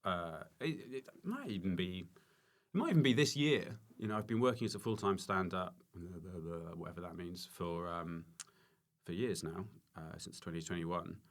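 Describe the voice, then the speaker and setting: Man, very low pitch, casual interview talk, halting, self-correcting, emphatic repeats. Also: expressive. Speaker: podcast guest, stand-up comedian and former teacher.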